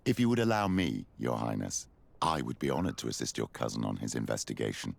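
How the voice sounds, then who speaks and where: A man playing a grave, courteous clergyman in a video game, speaking formally and with measured deference. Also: expressive, heavily acted. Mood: courteous, deferential. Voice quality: very deep, gravelly. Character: grave, courteous old clergyman of an inquisitorial church. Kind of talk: video game cutscene acting.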